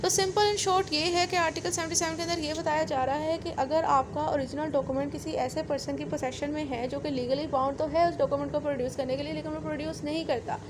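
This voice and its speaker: Female law lecturer, Pakistani accent, high voice, didactic and repetitive, mixing in English terms, clearly noisy recording. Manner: didactic, repeats and rephrases points.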